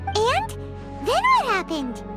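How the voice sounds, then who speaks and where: A woman voicing a tiny, childlike fairy companion in a video game, squeaky, curious and eager, exclaiming her questions. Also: huge pitch swings, takes it slowly, heavily acted. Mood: curious, concerned. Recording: game music behind the voice.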